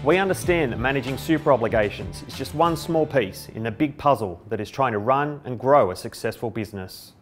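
A man's voice: mid-range voice, animated, brisk, few pauses, over music. A middle-aged man with an Australian accent, delivering a scripted explainer to camera as a company presenter. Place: indoor office by a window. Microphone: lapel mic.

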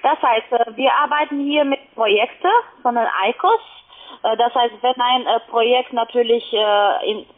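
A woman call centre agent speaking non-native German in a halting, unscripted sales call. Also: on the high side. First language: not German. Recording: narrow tinny phone line.

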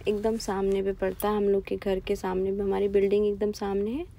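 A woman's voice: mid-range voice, flat delivery, brisk, vocal fry, warm tone. A woman casually chatting to camera in a walking vlog, pointing out places around her neighborhood as she goes. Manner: chatty, plainly pointing things out while walking. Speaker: vlogger. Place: outdoors on city streets. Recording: noisy street with traffic.

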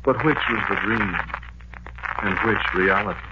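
An actor playing a haunted young soldier who speaks quietly and broodingly in a 1940s radio drama. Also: male voice, on the low side, measured pace, lightly acted. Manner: quiet, brooding, intimate delivery. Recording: muffled 1940s radio broadcast with loud hiss.

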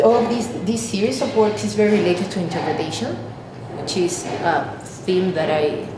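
A woman in her thirties from Peru with a Peruvian Spanish accent, an artist explaining her work haltingly, searching for words. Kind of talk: explaining own artwork to camera. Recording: echoey room, clear rumbling background noise.

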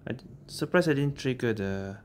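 A man's voice: medium pitch, animated, normal speed, noisy background. A man giving casual gameplay commentary, thinking aloud. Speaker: gamer, streamer. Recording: game music behind the voice.

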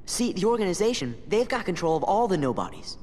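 An earnest, boyish young hero in a video game cutscene. His voice sits high and moves with animation, with a faint bed of noise behind it. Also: sing-song, fast talker, darkish tone. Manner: eager, boyish. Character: earnest, upbeat teenage boy hero. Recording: reverberant hall, faint background noise.

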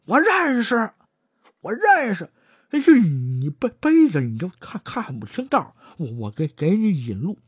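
A nervous, timid constable who stammers and repeats himself at every turn, put on by a male storyteller. The voice is husky and dry, and the recording sounds dull and lo-fi. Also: high voice, wildly swooping pitch, no room sound, heavily acted. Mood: fretful. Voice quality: heavy stutter, halting repeats. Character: timid stuttering man, a lowly constable. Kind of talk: traditional storytelling, acted dialogue.

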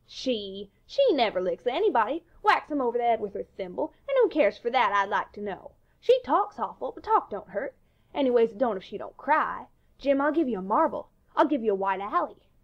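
A man's voice: extremely high pitch, sing-song, brisk, dull audio, voice pushed up, exaggerated voice. A man voicing an eager, scheming young boy who coaxes a friend with bribes. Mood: coaxing. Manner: coaxing, wheedling.

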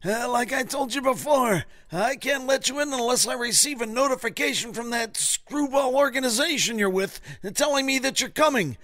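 A man's voice: very high voice, normal speed, few pauses, a bit bright, dry recording. An actor playing a cranky, officious theatre doorman in a comedy audio drama. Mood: irritated. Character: grumpy, fussy male theatre doorman.

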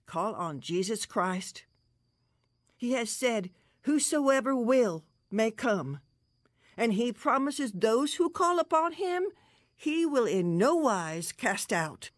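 A woman's voice: fairly high pitch, sing-song, no echo, clean studio sound. An actress playing a devout, earnest old grandmother giving solemn counsel in a radio drama. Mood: solemn, pleading. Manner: slow, earnest, preachy.

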